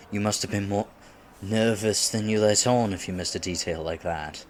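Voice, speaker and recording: A man's voice: fairly low pitch, normal speed, slight background noise, close to an everyday voice. A man in his twenties playing a nervous, polite young silver fox kitsune who wants to seem mature, in an ASMR date roleplay, speaking formally with stammers. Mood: puzzled, amused. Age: 20s. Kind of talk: ASMR roleplay, scripted one-sided date conversation.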